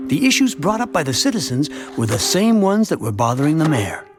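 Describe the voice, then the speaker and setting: A man playing a chatty, wry middle-aged suburban family man who narrates his town's story in an animated short. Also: a little high, brisk. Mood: storytelling. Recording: music behind the voice. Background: music.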